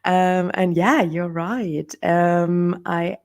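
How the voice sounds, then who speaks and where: A young woman with a German accent, a guest chatting over a video call, hesitant with many ums and quick laughs. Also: fairly low pitch, fairly even intonation, darkish tone. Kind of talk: casual interview chat.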